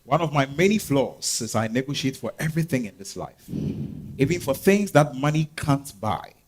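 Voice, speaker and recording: A man with a Ghanaian accent playing a smitten, pleading lover with halting, earnest phrasing in a stage reading. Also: medium pitch, expressive, slightly rough. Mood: confessional. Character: smitten, pleading male lover and deal-maker. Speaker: stage actor. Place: live staged reading at a festival.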